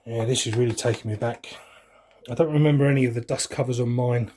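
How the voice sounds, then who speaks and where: A man's voice: mid-range voice, steady tone, quick pace, mellow, a little hiss or hum. A man in his forties with a London English accent, chatting casually and reminiscing in an informal, rambling way as a hobbyist vlogger. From West London, England. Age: 40s. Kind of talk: casual reminiscing chat while unboxing.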